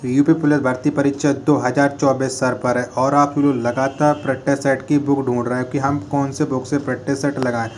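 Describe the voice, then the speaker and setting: A man delivers a promotional pitch in long run-on sentences. His voice sits at a medium pitch with little variation, and there is faint noise behind him. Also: level tone, a little fast, few pauses, a little mellow. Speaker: book promoter. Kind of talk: spoken advertisement pitch.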